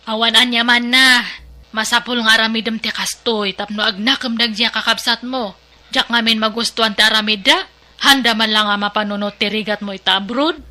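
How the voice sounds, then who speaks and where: This is a stern older sister-in-law, voiced by a woman in an audio drama. She has a bright, slightly high voice and a lecturing, no-nonsense delivery. She fires off pointed questions. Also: steady tone, brisk. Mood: advising.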